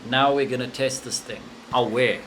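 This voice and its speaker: A young adult man talking casually to himself through a demonstration, thinking aloud in short, halting fragments. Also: medium pitch, steady tone, fairly bright tone, slight background noise. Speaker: amateur vlogger.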